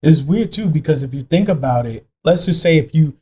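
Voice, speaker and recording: A man's voice: fairly high pitch, lively intonation, a little fast, warm tone, slightly rough. A man co-hosting a podcast interview, often backing up the guest with short agreements. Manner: frequent short affirmations.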